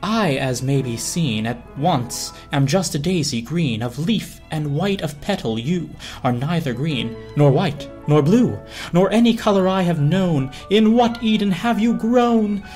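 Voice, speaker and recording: A woman's voice: sits low, lively intonation, measured pace, mellow, soft background music. A woman voicing a lovestruck daisy that gushes ornate, pleading rhymed verse in a dramatic storybook reading. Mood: smitten courting.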